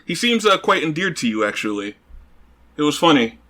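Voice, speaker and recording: A man's voice: fairly high pitch, sing-song, brisk, bright, forceful tone. A man playing a tabletop roleplaying game, speaking casually and conversationally in character.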